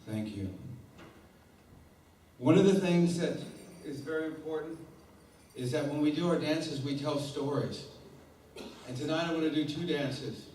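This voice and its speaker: A man's voice: a little high, measured pace, a little mellow, a little hiss or hum. Man speaking in a very echoey hall. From Mescalero Apache. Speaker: performer and storyteller. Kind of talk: live talk to an audience. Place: lecture hall before an audience. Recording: echoey hall mic heard from far off.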